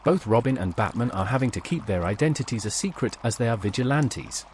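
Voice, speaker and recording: A man's voice: mid-range voice, animated, fairly quick, a little mellow, a little hiss or hum. A man hosting a pop culture show, reading scripted explanatory narration.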